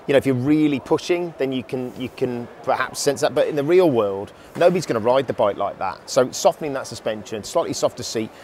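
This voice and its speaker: A man in his thirties talks bikes in a relaxed, enthusiastic way, often restarting his phrases. His voice sits at an ordinary pitch for a man. A faint hum of the exhibition hall sits behind him. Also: a little fast, few pauses. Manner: chatty, enthusiastic, frequent restarts. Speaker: motorcycle show presenter. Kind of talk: casual review chat. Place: indoor motorcycle exhibition hall.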